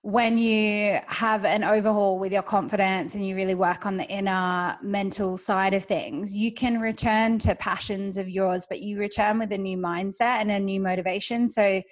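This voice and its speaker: This is an Australian woman hosting a conversational podcast. She speaks at an ordinary middle pitch, encouraging and reflective, with an easy "you know" here and there. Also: level tone, moderate pace. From Perth, Australia. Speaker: podcast host. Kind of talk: conversational interview.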